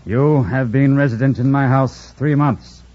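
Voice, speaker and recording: An actor playing a stern, abrupt master of the house near forty in an old radio drama, formal and commanding. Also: male voice, medium pitch, unhurried, darkish tone, close to an everyday voice. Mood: probing. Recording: muffled vintage radio broadcast.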